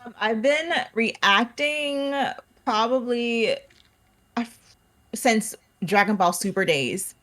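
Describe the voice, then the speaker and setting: A woman's voice: on the high side, slow, a bit bright. A woman in her thirties, a podcast guest chatting casually and expressively, often laughing and acting out what others said.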